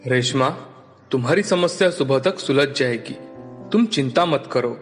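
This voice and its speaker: A man's voice: medium pitch, expressive, normal speed, faint music behind, lightly acted. A man voicing a benevolent, fatherly god who speaks reassuringly in a fairy tale. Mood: gentle, reassuring.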